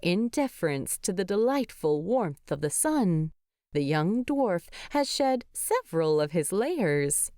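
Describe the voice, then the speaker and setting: Dry-sounding woman narrating with lively pitch. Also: no echo. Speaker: narrator of fan fiction. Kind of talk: expressive scripted story narration.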